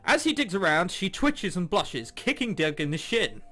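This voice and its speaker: A man's voice: high voice, big pitch swings, moderate pace, a bit bright. A man giving jokey, incredulous commentary as he reads a visual novel aloud. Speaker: game streamer host. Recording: music playing behind. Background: game background music.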